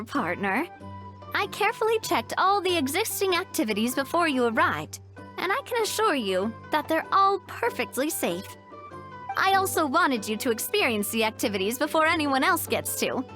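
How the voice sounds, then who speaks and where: A woman voicing a confident, upbeat young festival organizer in a video game, breezy, expressive and reassuring. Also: sits high, moderate pace, soft background music.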